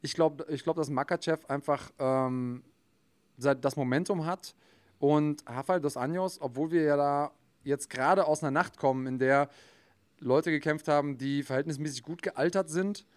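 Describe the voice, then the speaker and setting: Man thinking aloud, slightly high voice. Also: normal speed, darkish tone. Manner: thinking aloud, long explanatory turns with fillers. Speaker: podcast co-host. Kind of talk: casual chat.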